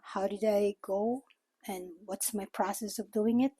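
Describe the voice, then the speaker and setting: An older woman from the Philippines with a Filipino accent, a native Tagalog speaker, talking informally and a little haltingly as she explains things in a vlog. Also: medium pitch, steady tone, warm tone, breathy edge, no echo. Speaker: vlogger. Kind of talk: informal talk to camera.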